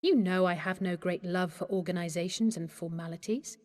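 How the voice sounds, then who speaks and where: A woman's voice: lowish voice, fairly even intonation, normal speed, a little mellow, close to an everyday voice. An actress playing a blunt, independent young noblewoman in a fantasy game, with haughty speech. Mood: proud. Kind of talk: formal fantasy game dialogue. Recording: long hall reverb.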